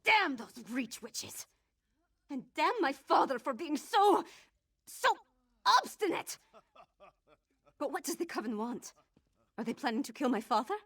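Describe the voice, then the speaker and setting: A woman's voice: high voice, big pitch swings, a little slow, long pauses, a bit bright, exaggerated voice. A woman voicing a headstrong young princess in a fantasy video game, forthright and emphatic in her delivery. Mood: angry. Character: headstrong young princess, grieving and righteously indignant. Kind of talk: video game dialogue acting.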